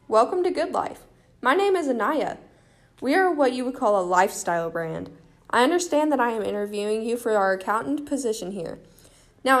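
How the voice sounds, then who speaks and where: A woman playing a formal, pompous job interviewer in a student drama dialogue. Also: on the high side. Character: brisk, officious female job interviewer at lifestyle brand.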